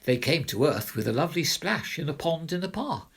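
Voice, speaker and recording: A man reading a rhyming children's story as narrator, with expressive, theatrical delivery. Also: fairly high pitch, fairly quick, breathy edge. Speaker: narrator, reader of children's poems.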